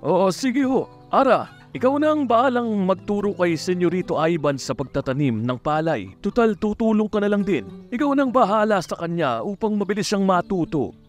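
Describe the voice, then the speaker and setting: An eager farm overseer in a radio drama, very high in pitch and animated. He is deferential and obliging as he organizes the work, with music underneath. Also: normal speed. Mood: obliging, instructing. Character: deferential, busy male farm overseer giving orders.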